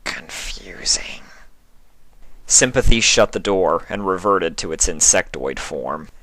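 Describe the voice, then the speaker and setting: Low-voiced man narrating a story. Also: animated, unhurried, a bit bright, dry recording. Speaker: narrator, fan fiction reader.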